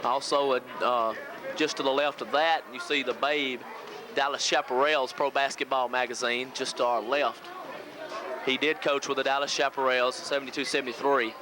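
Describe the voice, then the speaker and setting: A man's voice: mid-range voice, steady tone, moderate pace. Male local sportscaster, noisy old tape. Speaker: local radio play-by-play sportscaster. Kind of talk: pregame sports commentary. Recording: noisy old VHS local radio audio.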